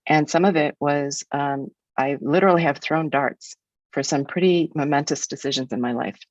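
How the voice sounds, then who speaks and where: A woman's voice: low-pitched, moderate pace, dry recording, dull audio. A woman in her sixties from the United States, a guest speaker in an academic webinar, talking in a frank, reflective way with some restarts.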